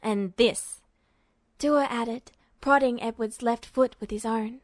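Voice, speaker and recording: Higher-pitched woman narrating a story. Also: steady tone, unhurried, dry recording, clean studio sound. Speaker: fan fiction audiobook reader.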